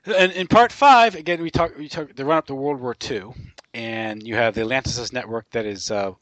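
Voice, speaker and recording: A man's voice: mid-range voice, brisk, fairly bright tone, dry recording, poor audio. A man hosting a casual podcast interview, asking long leading questions with fillers. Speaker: host.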